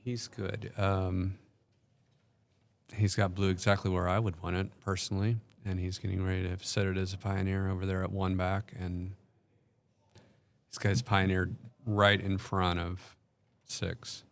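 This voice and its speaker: A man's voice: low voice, little pitch movement, measured pace, vocal fry. A man doing casual play-by-play commentary, describing each move step by step and hesitating often with ums.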